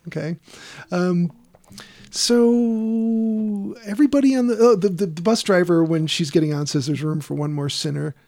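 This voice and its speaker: A man's voice: high-pitched, normal speed, darkish tone. A man casually recapping a horror film on a podcast, rambling and wry, with frequent fillers and laughs. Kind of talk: casual comedic chat.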